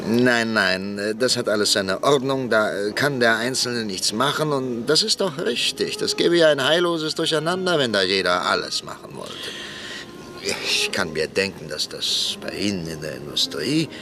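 An actor playing a fussy elderly professor and politician in a radio play, hesitant and evasive. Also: male voice, on the low side, expressive, moderate pace. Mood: flustered. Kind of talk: radio drama acting.

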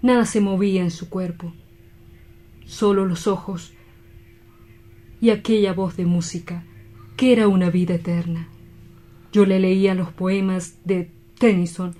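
A woman playing a grief-stricken, guilt-ridden doctor in a radio drama, solemn, halting and imploring. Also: mid-range voice, fairly even intonation, warm tone, natural voice. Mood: sorrowful.

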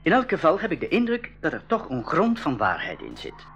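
A patient jungle biologist. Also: male voice, on the high side, wildly swooping pitch, a little fast, creaky, a bit bright, muffled recording, exaggerated voice. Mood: mysterious, explaining. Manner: patient explaining. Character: William King, friendly adult male jungle biologist. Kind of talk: radio play for schools.

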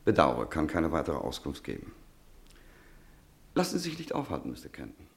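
An actor playing a cold, curt, dismissive head clerk of a trading firm in a German radio play. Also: male voice, a bass voice, huge pitch swings, moderate pace, vocal fry, heavily acted. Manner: curt, officious.

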